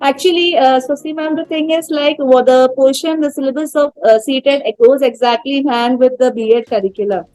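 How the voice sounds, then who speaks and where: A woman's voice: high voice, steady tone, brisk, few pauses, darkish tone, faint music behind, low-quality recording. A woman in her thirties with an Indian English accent, speaking earnestly as an interview guest, with fillers and restarts. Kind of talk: casual interview talk.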